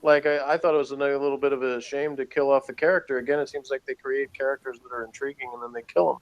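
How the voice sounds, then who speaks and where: A man's voice: mid-range voice, steady tone, racing, highs cut off. A man chatting casually as he reviews TV, often chuckling as he talks. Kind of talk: casual conversational review chat.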